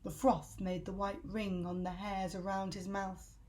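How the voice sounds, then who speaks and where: Expressive woman reading a children's story. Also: medium pitch, a little slow, a little hiss or hum. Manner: dramatic, emphatic delivery.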